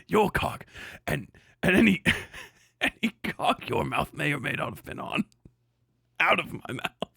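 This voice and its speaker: A man's voice: fairly high pitch, sing-song, creaky, pushed and bright, breathy edge, no room sound, studio quality. A man hosting a casual livestream, commenting in a profane, joking way and often stumbling over repeated words. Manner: profane, joking, halting and repetitive in delivery.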